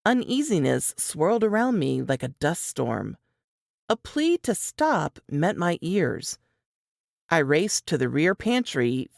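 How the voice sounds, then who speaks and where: A woman reading suspense fiction as an audiobook narrator, measured and expressive in a dramatic scripted style. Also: sits low, vocal fry, no room sound, studio quality.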